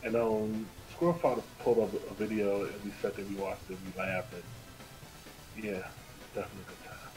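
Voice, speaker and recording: A man livestreaming games, talking in a casual, rambling and somewhat halting, apologetic way. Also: on the low side, fairly even intonation, over music, poor audio. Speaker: game livestreamer. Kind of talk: casual rambling stream chat.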